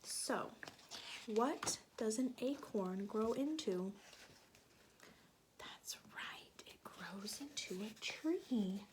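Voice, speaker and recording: A woman's voice: fairly high pitch, expressive, drawn-out words, background noise. A woman teacher reading a picture book aloud to young children, stopping to explain things and ask them questions.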